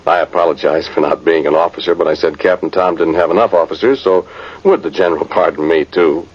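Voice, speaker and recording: Deep-voiced folksy old cavalry sergeant. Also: male voice, a little fast, slightly rough. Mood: reminiscing. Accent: American. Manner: rustic, rambling, plain-spoken old soldier. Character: folksy old cavalry sergeant recalling his past, plain and rueful. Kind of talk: 1950s radio drama narration. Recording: muffled noisy vintage radio recording.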